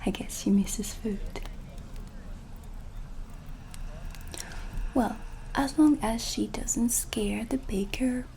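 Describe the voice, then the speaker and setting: A woman acts out a dreamy, kindly witch in her shop for a cinematic ASMR roleplay. She whispers softly and slowly, in a gentle, confiding way, with music playing clearly behind her. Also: medium pitch. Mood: curious, watchful. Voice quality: breathy, whispery soft voice. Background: ambient music.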